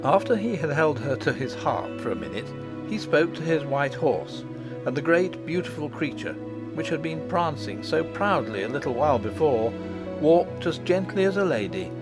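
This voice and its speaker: A man narrating a children's fantasy audiobook in an expressive, scripted storybook style. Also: a little high, normal speed. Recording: voice over prominent background music. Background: music.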